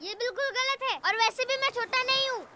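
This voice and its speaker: A woman voicing an excitable, insistent toddler rabbit boy, the childish little brother in a children's cartoon. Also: extremely high pitch, brisk, noisy background. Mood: pleading. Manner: childish, pleading, exclamatory. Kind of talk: Hindi-dubbed children's cartoon acting.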